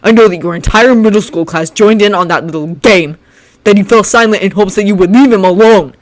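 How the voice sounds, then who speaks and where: A narrator voicing a cold, resentful teenage boy who speaks low and seething and then shouts accusations. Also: moderate pace, close to an everyday voice. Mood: shouting.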